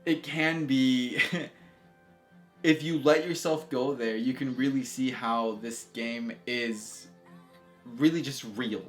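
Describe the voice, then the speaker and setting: Casual video game host, mid-pitched voice, enthusiastic and rambling, music under the commentary. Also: steady tone, takes it slowly. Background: background music.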